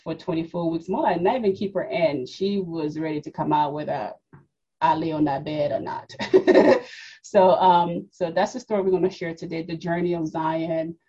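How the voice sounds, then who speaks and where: A woman's voice: deep voice, steady tone, normal speed, mellow, husky, highs cut off. A woman in her forties from Congo, raised in New York, telling her personal story as a podcast guest, reflective, with many fillers and restarts. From Democratic Republic of the Congo, raised in New York. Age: 40s.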